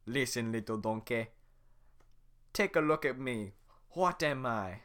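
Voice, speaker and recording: A man voicing a grumpy male ogre who is blunt and gruff and bursts into loud shouts. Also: medium pitch, lively intonation, a little slow. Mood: bellowing.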